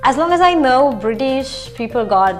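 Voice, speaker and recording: A woman's voice: sits high, lively intonation, normal speed, soft background music. A woman from India whose first language is Marathi, a guest chatting casually and laughing easily. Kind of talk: casual chat.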